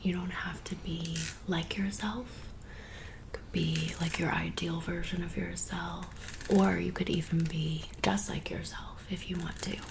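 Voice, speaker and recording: A woman playing a friendly teenage girl in an ASMR roleplay, whispering softly in a chatty, welcoming way. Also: fairly low pitch, fairly even intonation, unhurried, gravelly, noisy background. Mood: explaining.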